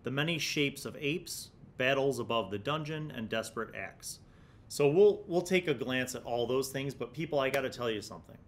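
A man's voice: medium pitch, moderate pace, a little hiss or hum. An American man in his forties hosting a tabletop gaming review, talking in a reflective, explanatory, conversational way into a studio microphone. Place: small indoor studio. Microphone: large desktop studio microphone.

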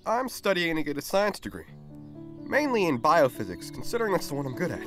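Earnest, animated college boy, acted by a man. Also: a little high, brisk. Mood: friendly, modest. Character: earnest, awkward young male college student. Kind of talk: comic dub character acting. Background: music.

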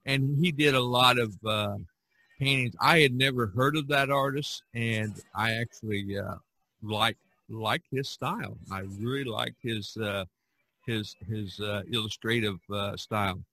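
A man hosting a casual podcast discussion, talking haltingly and often repeating his words. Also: mid-range voice, pushed and bright. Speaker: podcast host.

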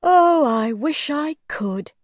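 A woman voicing a courteous old gentleman, lively swooping pitch. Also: a little slow, dry recording, studio quality. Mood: wistful. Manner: well-spoken, courteous, old-fashioned phrasing. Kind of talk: storybook character voice.

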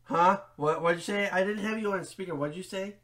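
A man's voice: high voice, brisk, fairly bright tone. A man in his thirties making a prank phone call from a small bedroom. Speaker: prank caller.

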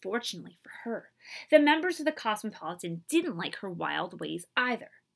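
An expressive woman reads a storybook aloud indoors. Her pitch is lively and varied, sitting in a middle register. She adds asides and questions for young listeners. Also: a little fast, vocal fry. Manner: animated, asks listeners questions. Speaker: librarian reading aloud to children. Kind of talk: expressive picture-book read-aloud with asides. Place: small room indoors.

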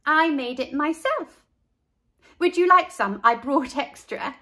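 A woman's voice: high-pitched, slow, pushed and bright, voice pushed up, heavily acted. A woman voicing a cheerful, proud little girl in a storybook who likes being different, speaking emphatically. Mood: proud, friendly.

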